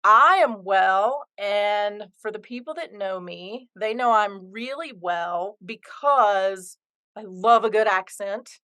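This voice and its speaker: Woman, podcast host, mid-pitched voice, enthusiastic, upbeat. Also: takes it slowly, bright, forceful tone, clean tone. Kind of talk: podcast interview hosting.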